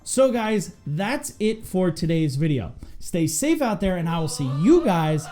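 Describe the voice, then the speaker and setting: A mellow voice with a very lively, swinging intonation delivers scripted instruction. The speaker hosts as an EMS instructor, emphatic and direct, with faint music underneath. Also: medium pitch, measured pace. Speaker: EMS instructor and video host.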